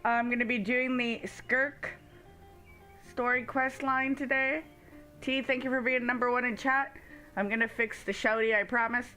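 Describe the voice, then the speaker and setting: A woman's voice: fairly high pitch, steady tone, normal speed, bright, forceful tone, soft background music. A woman hosting a casual game livestream, chatting informally and speaking straight to her viewers. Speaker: game streamer, host. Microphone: headset.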